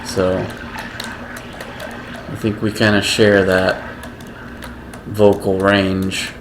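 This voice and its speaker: Male gaming vlogger, unhurried monotone delivery, audible hiss behind. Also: on the low side. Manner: slow, halting, self-described monotone. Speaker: gaming video creator. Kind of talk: casual gameplay commentary.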